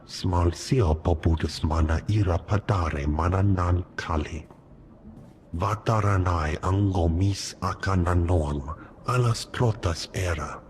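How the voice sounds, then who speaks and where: A male alien informant in a video game, his voice very low and his alien speech slow and chanting. A faint hiss runs under it. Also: a little mellow. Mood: alien chatter. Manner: unhurried, chanting alien gibberish.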